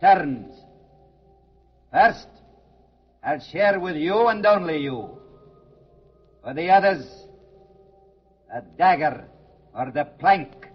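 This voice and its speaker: An actor voicing the gloating, menacing ghost of an old Scottish pirate captain in a theatrical vintage radio drama style. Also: male voice, a little high, animated, slow, pauses often, husky, exaggerated voice. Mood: sly, menacing. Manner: theatrical, archaic seafaring speech. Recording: dull vintage radio recording with music behind.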